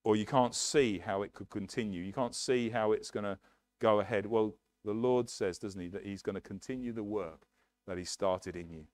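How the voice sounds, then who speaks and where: A middle-aged English man preaching with earnest emphasis, his voice fairly low. He speaks into a headset mic, and the sound is close and dry despite the hall. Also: normal speed, darkish tone. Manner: earnest, emphatic preaching with hand gestures at a lectern. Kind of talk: sermon. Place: church hall, medium size, indoors.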